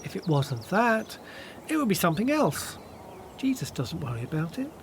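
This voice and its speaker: A kind patient disciple, lightish higher voice, radio drama, faint birdsong ambience. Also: male voice, huge pitch swings, normal speed, creaky, a little hiss or hum, full character voice. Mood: reassuring. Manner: reassuring, gentle in wording. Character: kindly, reassuring, patient male disciple. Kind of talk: radio play acting.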